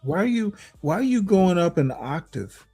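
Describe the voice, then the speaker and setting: A man on a podcast panel answering jabs with measured, formal-sounding comebacks in a heated but casual back-and-forth. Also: high-pitched, sing-song, dark tone, breathy edge, faint music behind.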